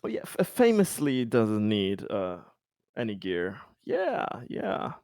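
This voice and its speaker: A man's voice: lowish voice, wildly swooping pitch, takes it slowly, darkish tone, no echo, studio quality. A man chatting casually as a tabletop game player, in a jokey, mock-earnest way. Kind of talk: casual chat.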